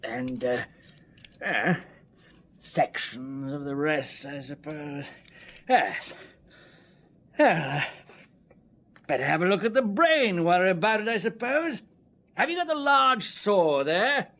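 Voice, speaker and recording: Bright, jovial English pathologist. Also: male voice, a little high, animated, takes it slowly, pauses often, heavily acted. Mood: businesslike. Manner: muttering, hesitant asides, cheerfully businesslike. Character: brisk, jovial police pathologist relishing his gruesome work. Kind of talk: British period radio drama acting.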